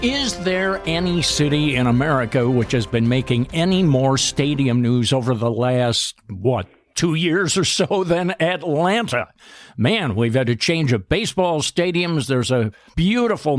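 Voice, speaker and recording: A man's voice: fairly high pitch, animated, measured pace, dry recording, studio quality, faint music behind. A man hosting a radio show, upbeat and delivering scripted introductions.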